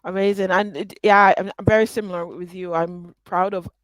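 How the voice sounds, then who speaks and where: A woman's voice: mid-range voice, steady tone, moderate pace, no echo, low-quality recording. Dry, close-miked woman host. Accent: Aberdonian, mixed with Nigerian and American traces. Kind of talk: live interview conversation.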